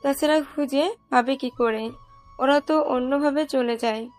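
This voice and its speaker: High-pitched woman narrating a story. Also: measured pace, darkish tone, faint music behind. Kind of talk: scripted romantic story narration.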